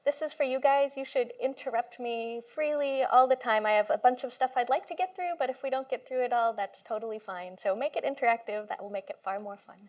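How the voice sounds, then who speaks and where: A woman's voice: a little high, fairly quick, few pauses. A woman computational biologist giving an informal lecture to a live audience in a room, speaking with enthusiasm and explaining with everyday comparisons. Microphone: handheld or stand mic, moved closer at one point.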